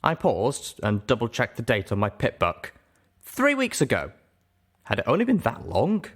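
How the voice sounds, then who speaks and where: A man reading a first-person story aloud as an expressive audiobook narrator. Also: medium pitch, normal speed. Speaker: audiobook reader.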